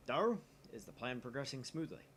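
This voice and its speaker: A grandiose young mad scientist acted out by a man declaims with big pitch swings and a pompous, self-important air. It sounds like visual novel dialogue, with faint noise behind it. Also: sing-song, fairly quick, a bit bright. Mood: insistent, self-important.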